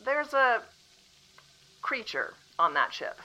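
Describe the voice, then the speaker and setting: A woman acting a composed female official from the future in an audio drama, calmly apologetic and matter-of-fact. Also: fairly high pitch, takes it slowly, dull audio, full character voice.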